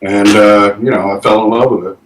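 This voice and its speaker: A man in his forties talks as a band guest, earnest and rambling. His low voice comes from away from the mic in an echoey room. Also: fairly even intonation, brisk, far from the mic, slight background noise. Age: 40s. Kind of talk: casual interview chat.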